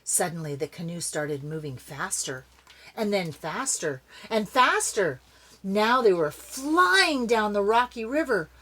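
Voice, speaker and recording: A woman's voice: medium pitch, very animated, a little slow, fairly bright tone. An older woman reading a children's story aloud expressively, adding chatty asides to her young listeners. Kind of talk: animated read-aloud storytelling.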